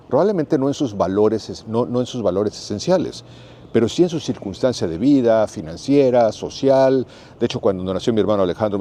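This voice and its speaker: Mellow-voiced Mexican man in his 60s. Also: fairly low pitch, slight background noise. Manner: unhurried, thoughtful, plain-spoken and explanatory. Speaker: vlogger. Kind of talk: reflective casual monologue.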